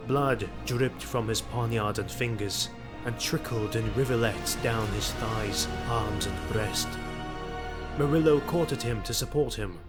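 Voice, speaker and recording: Slow, dramatic male fantasy narrator. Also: mid-range voice. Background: prominent music.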